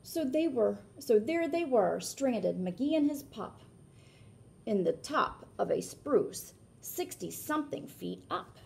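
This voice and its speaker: Expressive woman reading aloud, gravelly creak. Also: a little high, slight background noise. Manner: animated, pausing to ask listeners questions. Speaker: teacher reading a picture book to children. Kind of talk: expressive read-aloud with explanatory asides.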